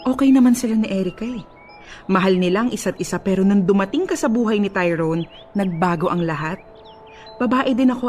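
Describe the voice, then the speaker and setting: A woman's voice: medium pitch, a little hiss or hum, close to an everyday voice. A woman playing a haughty, disapproving middle-aged mother in a radio drama, with a Filipino accent and a sarcastic, cutting manner. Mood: scornful, hostile.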